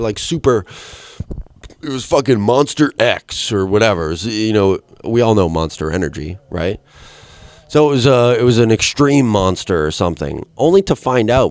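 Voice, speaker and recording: Low-voiced rambling young man. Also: no room sound. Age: 20s. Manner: rambling and profane, with deadpan asides. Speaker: podcast host. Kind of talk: solo comedic rant.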